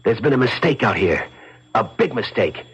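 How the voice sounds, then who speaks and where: An actor playing a scheming, fast-talking, hard-boiled crook in a 1970s radio drama, slangy and insistent. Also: male voice, fairly low pitch, very animated, fairly bright tone, husky, heavily acted. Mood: urgent, secretive. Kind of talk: 1970s radio drama acting, with first-person narration. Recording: muffled 1970s radio broadcast, music underneath.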